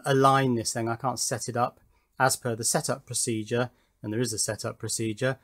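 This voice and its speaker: A man hosting a hobby electronics video, talking through his build unscripted in a matter-of-fact, technical and self-critical way. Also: medium pitch. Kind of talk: unscripted workbench explanation.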